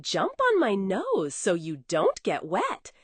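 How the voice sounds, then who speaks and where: A woman voicing a sly, clever male fox in a bedtime story, coaxing with drawn-out words. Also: mid-range voice, wildly swooping pitch, slightly rough, no echo, full character voice. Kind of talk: children's bedtime storybook character.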